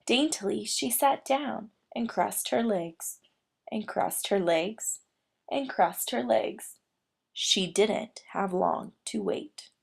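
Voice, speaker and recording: A woman reading a children's picture book aloud as a storyteller, with expressive, deliberate phrasing. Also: mid-range voice, gravelly, slightly rough.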